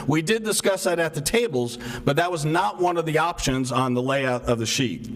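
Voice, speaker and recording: Soft-spoken man, slightly high voice, resident at a council meeting's public comment, earnest, leans on rhetorical questions. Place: town hall meeting room. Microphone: microphone stopped working partway.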